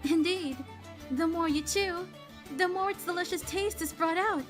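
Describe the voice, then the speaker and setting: A woman's voice: very high voice, normal speed, over loud music. A woman playing a polite, studious young female companion in a comic dub, explaining things precisely and earnestly. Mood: pleased, polite.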